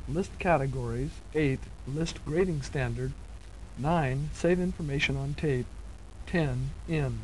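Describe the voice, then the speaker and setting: Male instructional narrator, slightly high voice, methodical step-by-step delivery, faintly noisy vintage 1970s cassette sound.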